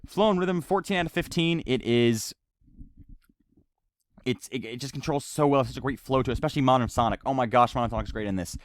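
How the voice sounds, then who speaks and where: A man's voice: medium pitch, fast talker. Rambling, stammering man reviewing a video game. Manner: rambling, stammering, repeats himself. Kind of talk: unscripted game review commentary, ranting.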